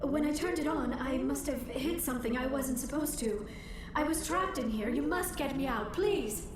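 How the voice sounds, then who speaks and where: A woman's voice: sits high, normal speed, close to an everyday voice. A woman playing an anxious, earnest young alien archaeologist in a video game, speaking in precise, formal phrases. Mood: anxious, urgent. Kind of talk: video game cutscene voice acting. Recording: echoey, distant, faint background noise.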